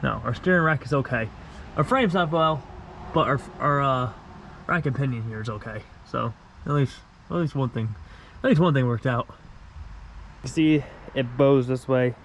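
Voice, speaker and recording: A man with a stuffy, congested voice talks through his work on a wrecked car in a garage. He rambles informally and corrects himself mid-sentence. His pitch sits in an ordinary middle range, and faint vehicle and shop sounds hang in the background. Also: normal speed, fairly bright tone, slight background noise. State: congested after being sick. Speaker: vlogger working on his race car. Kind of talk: casual how-to narration.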